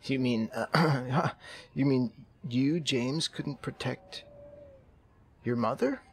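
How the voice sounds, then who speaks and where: A man playing an eccentric, earnest amateur therapist in a roleplay game, halting and awkward, repeating himself for emphasis. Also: mid-range voice, a little hiss or hum. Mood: awkward, uneasy. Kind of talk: improvised roleplay in a zombie survival game.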